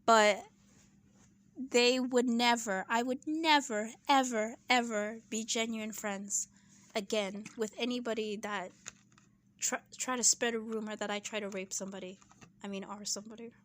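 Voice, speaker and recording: A woman giving her casual opinions in a vlog, chatty and full of "right?" asides, clearing her throat and losing her voice. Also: on the high side, fairly even intonation, normal speed, a bit bright, a little hiss or hum. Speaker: YouTube commentator.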